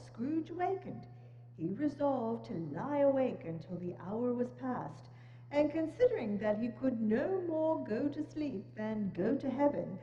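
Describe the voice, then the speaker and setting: A woman's voice: high-pitched, warm tone. A woman narrator giving a scripted, dramatic reading of a story. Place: church, live staged reading. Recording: echoey church hall, dull sound, faint noise.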